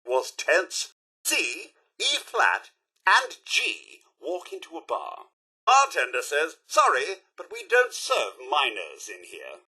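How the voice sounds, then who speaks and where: Posh, wildly animated British robot butler. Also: male voice, on the high side, slow, slightly rough, full character voice. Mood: jokey. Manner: posh, theatrical, formal servant speech. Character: polite, fussy robot butler, by turns devoted and snide. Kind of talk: video game character voice lines.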